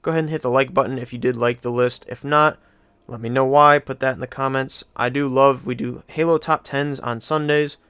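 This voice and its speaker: A man's voice: medium pitch, fairly even intonation, fairly quick, fairly bright tone, smooth, no room sound. A man hosting a countdown video, giving casual, enthusiastic commentary with repeated emphasis. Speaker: video host.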